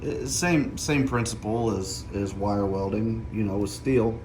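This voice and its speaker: Middle-aged man, casual DIY tutorial, lowish voice, explanatory, noticeable background noise. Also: unhurried, a little mellow. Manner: explanatory, often checking with "okay?". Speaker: DIY vlogger. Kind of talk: casual hands-on tutorial talk.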